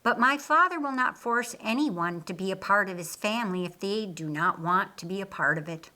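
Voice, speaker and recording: A woman voicing a calm, assured male religious teacher in an audiobook, speaking deliberately and stressing key words. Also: medium pitch. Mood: earnest. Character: Jesus, a man, calm, assured, kindly teacher. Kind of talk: audiobook character dialogue.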